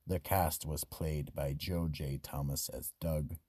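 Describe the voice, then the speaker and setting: Guy doing a grave spooky radio narrator, really low husky voice, slow and dramatic, dry close sound. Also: steady tone, warm tone, no echo, exaggerated voice. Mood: grave, measured. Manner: measured, dramatic. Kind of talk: scripted mystery radio narration.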